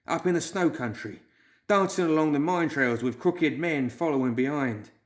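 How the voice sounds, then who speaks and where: A man's voice: on the high side, normal speed, voice pushed up, lightly acted. A man voicing a frightened, nervous male clerk who whimpers and wails. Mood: fearful. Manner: whimpering, wailing.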